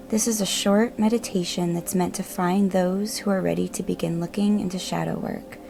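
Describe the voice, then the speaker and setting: A woman narrating a guided meditation in a gentle, measured, reassuring manner. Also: fairly low pitch, creaky. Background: meditative music.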